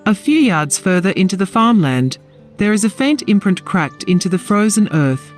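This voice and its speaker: A woman's voice: medium pitch, sing-song, moderate pace. A woman reading scripted story narration for an audio drama. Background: music.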